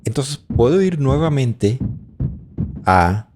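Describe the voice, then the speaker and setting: A man presenting a music production tutorial, explaining as he demonstrates and thinking aloud in short phrases with pauses. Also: mid-range voice, sing-song. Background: drum loops playing.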